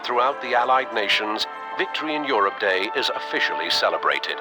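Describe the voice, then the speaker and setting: A man narrating a war history briefing in a solemn, measured, scripted documentary style. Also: lowish voice, big pitch swings, low-quality recording. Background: music.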